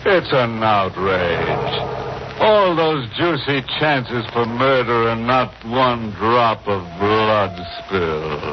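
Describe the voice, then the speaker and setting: An actor playing a gleefully morbid horror host, mock-sinister and drawling, in 1940s radio style. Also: male voice, medium pitch, lively intonation, drawn-out words, background noise. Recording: narrow tinny old radio recording.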